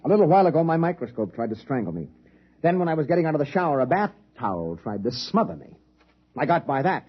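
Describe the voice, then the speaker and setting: Husky, rattled army major. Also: male voice, on the high side, big pitch swings, fast. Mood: urgent, pleading. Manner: hesitant, agitated, wry. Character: rattled, insistent military major, a sardonic scientist. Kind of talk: 1950s radio drama acting. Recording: dull, lo-fi vintage radio broadcast.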